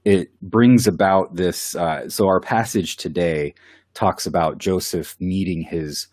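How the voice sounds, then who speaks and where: A man's voice: sits low, a little slow, a little mellow, no echo, studio quality. A man with a cold, co-hosting a Bible study in casual, enthusiastic chat. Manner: enthusiastic, with filler words and laughs. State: has a cold.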